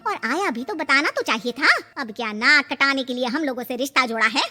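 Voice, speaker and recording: Woman playing a scolding middle-aged mother, high-voiced. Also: expressive, fairly quick, sharp tone, faint music behind. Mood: outraged. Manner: scolding, admonishing. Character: middle-aged mother, scolding, outraged, advising married daughter.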